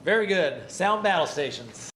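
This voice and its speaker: An actor playing a polite, efficient submarine executive officer in a wartime naval drama, speaking in an orderly, precise way. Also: male voice, on the high side, lively intonation, normal speed, a bit bright, rough recording. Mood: businesslike. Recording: faint background noise.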